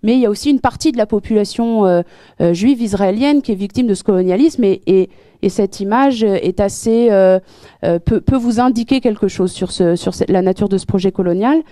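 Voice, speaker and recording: Woman, guest speaker walking through slides; warm low-toned voice, earnest, lots of hesitant restarts, dry close sound. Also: a little fast, no room sound. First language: French. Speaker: guest speaker at a public debate. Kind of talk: explanatory lecture with slides.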